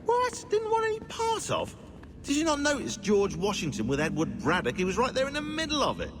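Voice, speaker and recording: An actor playing a snide, excitable, pedantic British historian who rambles sarcastically in a video game. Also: male voice, very high voice, brisk, noisy background, heavily acted. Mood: indignant, animated.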